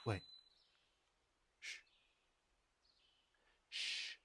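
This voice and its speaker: A man's voice: drawn-out words, pushed and bright, a little hiss or hum. A man playing a wary, teasing catfolk forest guide in an audio roleplay, hesitant and sardonic with muttered asides. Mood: urgent. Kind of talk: audio roleplay spoken to the listener.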